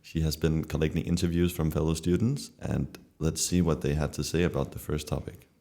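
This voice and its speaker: A man's voice: extremely low pitch, steady tone, a little fast, gravelly, mellow. A young man from Denmark hosting a student podcast and asking plain guiding questions. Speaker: podcast host.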